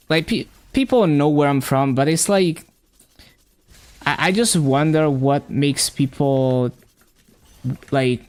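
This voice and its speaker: An Italian streamer whose first language is Italian chats loosely over a game stream. The English accent is a patchwork picked up from watching online content. The delivery rambles, with frequent "like" and stumbling restarts, at an ordinary middle pitch. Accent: mixed, self-taught English accent. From Italy. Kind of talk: casual live-stream chat while gaming.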